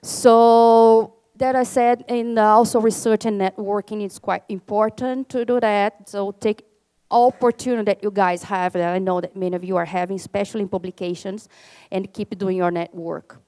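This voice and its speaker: A woman's voice: mid-range voice, fairly even intonation, normal speed, warm tone, clear, resonant tone. A woman in her thirties from Brazil with a Brazilian accent, giving a conference talk in English and taking questions, with many fillers and restarts. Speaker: alumni ambassador speaker. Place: conference room.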